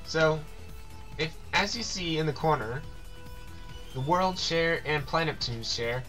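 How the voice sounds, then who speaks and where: A man's voice: on the high side, takes it slowly, sharp tone, soft background music. A man doing casual game commentary, reading on-screen text aloud and stumbling over words now and then.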